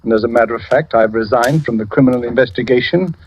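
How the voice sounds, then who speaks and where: An actor playing a self-satisfied, shrewd detective with a measured, theatrical manner in an old radio drama. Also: male voice, mid-range voice, husky, close to an everyday voice. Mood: smug, theatrical. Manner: pompous, measured, assured. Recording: noisy old radio recording with clicks.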